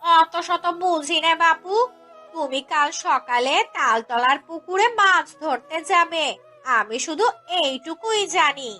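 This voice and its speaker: A woman voicing a bossy, scolding village wife in a cartoon fairy tale, shrill and insistent. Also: lively intonation, slow, pushed and bright, faint music behind, exaggerated voice.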